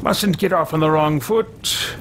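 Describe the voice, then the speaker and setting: A man's voice: on the high side, a little slow. A man in his thirties voicing a haughty, scheming adventurer who talks in a theatrical, archaic and pompous way and mutters to himself while playing at home. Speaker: let's-play host. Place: home room with shelves, indoors. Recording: noisy home desk recording.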